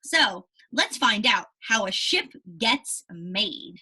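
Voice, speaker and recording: A woman teacher giving a read-aloud lesson to young children, animated and explanatory with frequent check-in questions, in a slightly husky voice. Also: mid-range voice, slow. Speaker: elementary school teacher.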